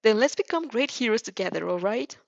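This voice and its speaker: A woman voicing an earnest, hyper-energetic young boy in a story, formal and emphatic. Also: fairly high pitch, brisk, gravelly, no echo, muffled recording. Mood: excited, shouting.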